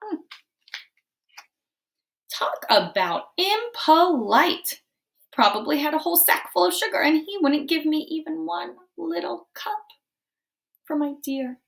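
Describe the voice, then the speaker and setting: An aggrieved storybook wolf, played by a woman pleads his own case in a high, very animated voice. He is chatty and confiding, full of exaggerated indignation and excuses. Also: slow, vocal fry, heavily acted. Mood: indignant. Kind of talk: animated read-aloud storybook narration.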